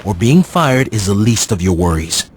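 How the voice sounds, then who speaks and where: A man's voice: fairly low pitch, very animated, moderate pace, a little hiss or hum. A man voicing an impatient, threatening corporate superior in a video game, curt and menacing. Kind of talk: video game cutscene acting.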